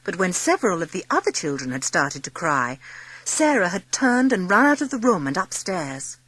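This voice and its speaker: A woman's voice: fairly low pitch, very animated, moderate pace, a bit bright, no room sound, low-quality recording. A woman reading scripted narration for a storybook audiobook drama.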